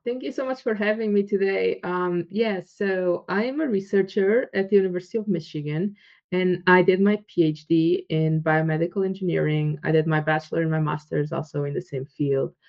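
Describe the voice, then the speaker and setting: A woman in her thirties from Portugal, speaking with a Portuguese accent as a guest researcher in a casual interview, animated and quick to act out quoted dialogue with laughs. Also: lowish voice, vocal fry. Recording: muffled lo-fi audio.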